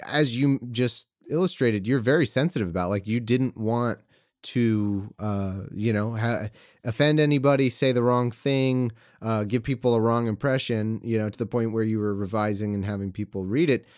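A man's voice: fairly low pitch, moderate pace, darkish tone, no room sound, clean studio sound. A man hosting a podcast conversation who backs up his guest with frequent replies and talks in a rambling way. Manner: supportive, gives frequent backchannel replies, rambling.

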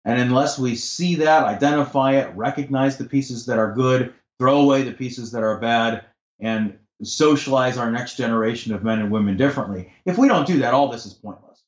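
A man's voice: medium pitch, moderate pace. A man in a podcast conversation, talking in an assertive, impassioned way that turns to swearing when he gets heated. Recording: roomy, dull lo-fi sound.

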